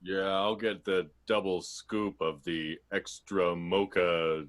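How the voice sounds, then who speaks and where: Male actor as downcast, lovesick deli customer, very low voice, dragging pace, comic radio drama. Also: steady tone, slow. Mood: glum. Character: gloomy lovesick man ordering ice cream. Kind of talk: improvised radio comedy acting.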